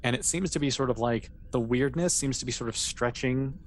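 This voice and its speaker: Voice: mid-range voice, brisk, a little hiss or hum. A game master narrating a tabletop game off the cuff, explaining things in a loose, conversational way full of asides.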